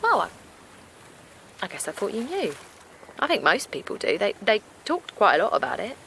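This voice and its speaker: A woman's voice: mid-range voice, huge pitch swings, moderate pace, vocal fry, fairly bright tone, slight background noise, full character voice, poor audio. An actress playing a naive, kindly middle-aged village woman in an audio drama, hesitant and defensive, with colloquial phrasing. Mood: gossipy, hesitant. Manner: hesitant, colloquial, protesting, repeats herself. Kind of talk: naturalistic audio drama acting.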